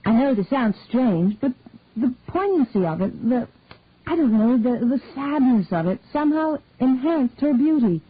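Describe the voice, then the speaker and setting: A woman's voice: high voice, animated, moderate pace, pitched above the performer's own voice. A woman reading the part of a sensitive older mother, earnest and a little hesitant. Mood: musing. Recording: husky, tinny, dry phone-like sound.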